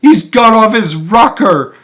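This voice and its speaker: A man voicing a group of frightened parents, shouting in panic and rattling off insults. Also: high voice, expressive, slow, voice pushed up, full character voice. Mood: panicked.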